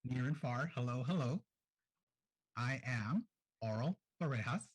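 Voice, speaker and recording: A man's voice: mid-range voice, lively intonation, a little slow, breathy edge, poor audio. A man introducing himself on a panel in a friendly, plain-spoken way.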